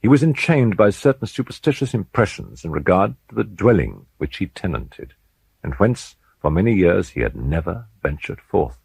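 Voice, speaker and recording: A man reads gothic fiction in a very low, creaky voice. His delivery is solemn and deliberate, with theatrical phrasing and wide swings of intonation. The script is dramatic narration, shaped like an audiobook. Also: sing-song, darkish tone, dry recording.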